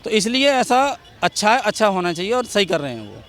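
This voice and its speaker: A man's voice: high-pitched, very animated, fairly quick, fairly bright tone. A man giving his opinion in a street interview outdoors, speaking emphatically and repeating his points. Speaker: member of the public giving opinion.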